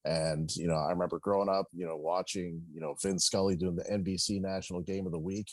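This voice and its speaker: A man's voice: low voice, steady tone, fast talker, no echo. A middle-aged man chatting casually as a podcast guest, bantering and peppering his talk with "you know". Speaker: guest, baseball public address announcer.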